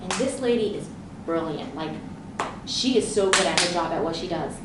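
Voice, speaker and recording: A woman speaks to a live audience as a leadership coach, telling stories and voicing her own thoughts with energy. Her voice comes from a distance and rings through a very echoey room with steady noise in the background. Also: on the low side, moderate pace. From grew up in Southern California. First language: Romanian. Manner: lively storytelling with quoted inner thoughts. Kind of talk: live conference presentation.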